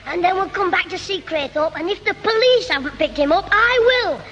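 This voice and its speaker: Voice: very high-pitched, animated, dull audio. An actor playing a cheeky, bossy schoolboy with a Northern English accent in a 1960s radio comedy. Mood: urgent, cheeky. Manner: cheeky, quick-retorting. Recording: noisy 1960s radio recording.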